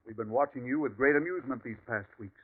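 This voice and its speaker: A man voices a doctor who is secretly a Martian agent, speaking with calm, coldly polite amusement. The voice sits in the middle range and is heard through a tinny vintage radio broadcast. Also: mid-range voice, fast talker, husky, natural voice. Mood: calm menace. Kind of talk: 1940s radio acting.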